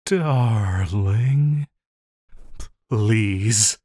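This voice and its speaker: A man playing a lovesick, possessive supervillain in an intimate audio roleplay, pleading haltingly and using tender endearments. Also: on the low side, dark tone, slight background noise. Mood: pleading, remorseful. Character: lovesick, possessive yandere supervillain pleading with his beloved hero.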